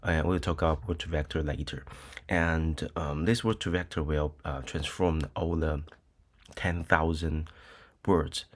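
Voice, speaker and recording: Brief: middle-aged Taiwanese male professor, low voice, unhurried, filler-heavy lecturing. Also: fairly even intonation. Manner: hesitant, many ums and uhs, frequent "okay?" checks. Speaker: university lecturer. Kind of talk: recorded lecture with screen demo.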